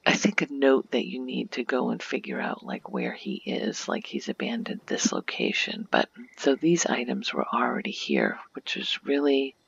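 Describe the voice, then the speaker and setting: A woman softly narrating an ASMR video game tour in a breathy, near-whispered voice, explaining gently and without hurry. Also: a bass voice, wildly swooping pitch, moderate pace, hardly any pauses, dull audio.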